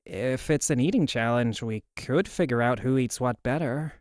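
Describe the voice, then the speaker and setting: A man's voice: mid-range voice, normal speed, a little mellow, dry recording, studio quality, natural voice. A man voicing a mild, hesitant younger brother in a cartoon game show. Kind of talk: cartoon character dialogue.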